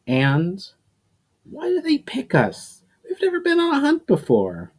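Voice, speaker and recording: A young hunter voiced by a man frets in a storybook tale. He is superstitious and anxious, complaining in a pitch lifted above plain narration. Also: huge pitch swings, a little slow, breathy edge, full character voice. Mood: anxious protest.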